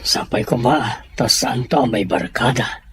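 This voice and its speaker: A man with a Filipino Ilocano accent playing a gentle, caring father in an audio drama. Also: mid-range voice, a little slow, slight background noise, lightly acted. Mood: fond, musing. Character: gentle, caring father thinking fondly of his children. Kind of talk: audio drama monologue and dialogue.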